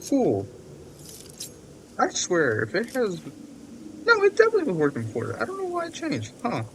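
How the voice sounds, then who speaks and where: A man's voice: high voice, wildly swooping pitch, normal speed, slight background noise. A man giving casual, rambling, self-deprecating commentary on a livestreamed game. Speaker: streamer.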